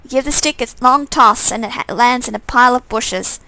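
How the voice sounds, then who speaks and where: High-pitched, creaky woman reading a story aloud. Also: sharp tone, dry recording. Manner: halting, stumbles over words and corrects herself. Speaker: streamer reading a visual novel aloud. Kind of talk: live read-aloud narration with character dialogue.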